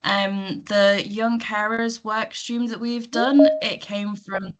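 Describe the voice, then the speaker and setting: A woman presenting slides in an online meeting, her voice croaky from illness, informal with hesitant fillers. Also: medium pitch, steady tone, slow, heavy background noise. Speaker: guest presenter.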